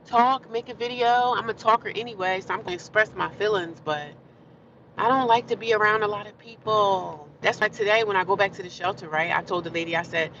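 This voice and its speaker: A woman talks into her phone from behind the wheel, blunt and rambling, looping back over the same points as she vents to her viewers. Her voice is bright and tense, and the sound is muffled. A faint hum of road noise runs underneath. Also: mid-range voice, fairly quick. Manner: blunt, rambling, talkative and repetitive. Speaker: livestreaming vlogger. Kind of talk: casual venting livestream. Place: in a car while driving.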